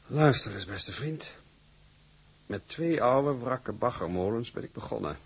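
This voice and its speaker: An older male actor playing a shrewd, imperious company boss with a gravelly, husky voice and a deliberate, patronising manner in a drama. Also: mid-range voice, animated. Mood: stern, probing.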